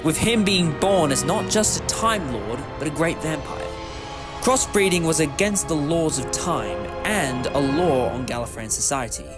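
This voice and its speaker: Animated, eccentric time-traveller hero played by a man. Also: a little high, unhurried, over loud music. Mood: chatty, explaining. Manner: chatty, animated, cheeky. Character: eccentric, principled alien time-traveller hero, quick-witted. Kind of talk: audio drama acting.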